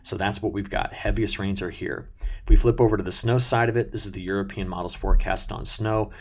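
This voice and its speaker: Male forecaster, very low register with gravelly fry, conversational and explanatory, briefing viewers through weather maps. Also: steady tone, fairly quick. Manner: conversational, unscripted, pointing things out.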